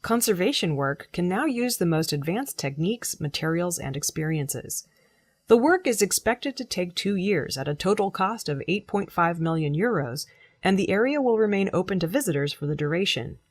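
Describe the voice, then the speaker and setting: A woman reading scripted news as a presenter, in a measured, formal delivery. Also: fairly low pitch, animated, slightly rough, dry recording. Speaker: news reader.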